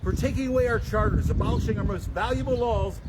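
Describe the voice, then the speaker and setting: A man giving a solemn, dramatic public reading of a historical document, with deliberate, declamatory phrasing. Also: sits high. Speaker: public reader and livestream host. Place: outdoors at a town memorial. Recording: noisy outdoor livestream, traffic and street sounds.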